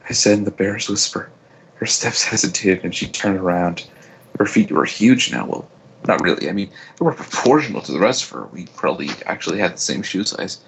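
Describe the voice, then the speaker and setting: A man's voice: fairly low pitch, a bit bright, slight background noise, rough recording. A man reading fan fiction aloud as a first-person narrator.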